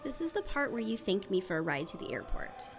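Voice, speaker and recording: A woman's voice: mid-range voice, big pitch swings, brisk, over music. A woman playing a teasing, impatient travel companion in a casual audio drama scene.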